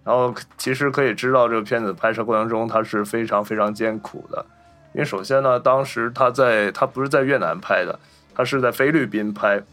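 A man's voice: mid-range voice, a little fast, fairly bright tone. A man hosting a film podcast, chatting casually and telling anecdotes in a wordy, repetitive way.

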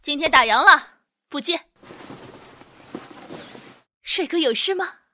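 A woman playing a brash, short-tempered shop boss in a radio drama, bossy and sharp-tongued. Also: high-pitched, animated, takes it slowly, noisy background. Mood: flirty.

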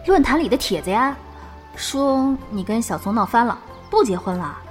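A woman's voice: fairly high pitch, lively intonation, moderate pace, faint music behind, lightly acted. A woman playing a polite, inquisitive young online gamer, a deferential junior guild member in an audio drama. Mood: curious. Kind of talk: modern audio drama acting.

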